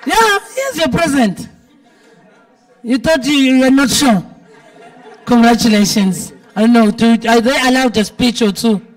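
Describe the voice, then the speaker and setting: A woman's voice: a little high, animated, a little slow, pauses often. A woman with a South African accent and a big, heavy-timbred voice, hosting a live event with chatty, teasing, informal banter. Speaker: event host. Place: event venue with a dinner audience. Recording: live event, distant from the mic.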